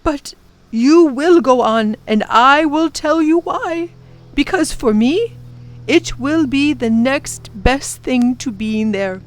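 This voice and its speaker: A woman voicing a kind, brave old male professor who speaks in a gentle, formal way and breaks into defiant shouts. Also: extremely high pitch, animated, unhurried, voice pushed up, exaggerated voice. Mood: tender.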